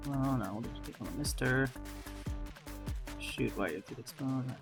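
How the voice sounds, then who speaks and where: A man's voice: mid-range voice, normal speed, music in the background. A man who does amateur voice acting, chatting casually and thinking aloud as a laid-back, informal vlog host.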